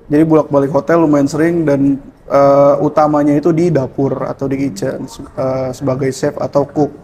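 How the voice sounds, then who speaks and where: A man, a former hotel cook turned cafe barista, explaining at a relaxed pace with many hesitant fillers. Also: mid-range voice, moderate pace, slight background noise. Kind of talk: casual interview answers.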